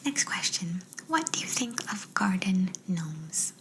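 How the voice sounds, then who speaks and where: A woman's voice: lowish voice, big pitch swings, slow, sharp tone, low-quality recording. Whispering woman in playful ASMR. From Canada. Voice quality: breathy, whispered voice. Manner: playful, quirky, teasing. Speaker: ASMR roleplayer playing a job interviewer. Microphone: close microphone. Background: writing sounds.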